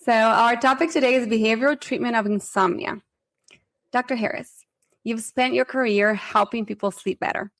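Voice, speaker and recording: A woman who hosts a health podcast, her voice carrying a gravelly fry. She puts her questions to the guest in a measured way. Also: a little high, steady tone, fairly bright tone. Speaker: podcast host. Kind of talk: interview questions and comments.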